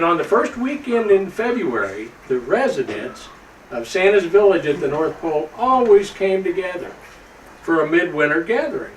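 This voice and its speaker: A man playing Santa Claus, a jolly old man reading a storybook to children in a folksy, slightly halting way. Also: a little high. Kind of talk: storybook read-aloud to children. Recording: roomy, distant microphone with faint background noise.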